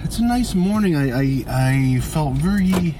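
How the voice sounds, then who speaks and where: A man hosting his podcast in a hoarse, gravelly voice, chatting casually and laughing at himself. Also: sits high, lively intonation, moderate pace, noisy background. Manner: chatty, self-deprecating, laughs often. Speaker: podcast host. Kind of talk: rambling casual monologue. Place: in his car for the later part.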